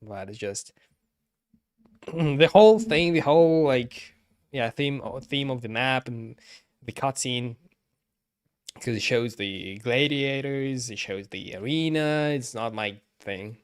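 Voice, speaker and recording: A man's voice: medium pitch, animated, slow pace. A man giving casual livestream commentary in a hesitant way, often repeating himself. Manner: hesitant, repeats himself, frequent throat clearing.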